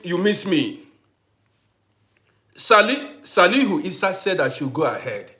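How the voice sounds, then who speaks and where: A man hosting a casual livestream who greets viewers by name, repeats himself, and swings between loud bursts and quiet asides. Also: fairly high pitch. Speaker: livestream host.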